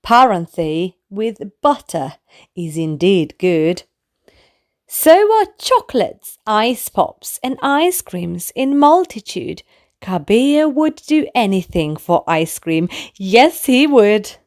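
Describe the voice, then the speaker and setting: A woman narrating a children's bedtime story in an expressive way, speaking to small children. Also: mid-range voice. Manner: animated, slow, clearly addressed to small children. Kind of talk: expressive read-aloud bedtime story for toddlers.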